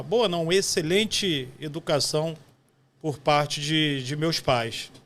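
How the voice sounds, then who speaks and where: A man in his fifties speaks as a podcast guest. He has a Rio de Janeiro accent and a somewhat high voice for a man, and he answers questions in a conversational manner. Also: fairly bright tone. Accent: Brazilian, Rio de Janeiro. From West Zone of Rio de Janeiro, Brazil. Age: 50s. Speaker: podcast guest, postal union director. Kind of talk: conversational interview answers, reminiscing.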